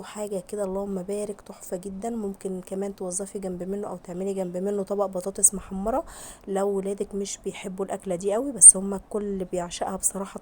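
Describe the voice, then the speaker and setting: Hoarse Egyptian woman, fast chatty voice-over. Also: mid-range voice, warm tone, slight background noise. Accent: Egyptian Arabic. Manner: chatty, addresses viewers directly with frequent blessings. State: hoarse from a cold. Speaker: home cooking vlogger. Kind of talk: casual voice-over vlog.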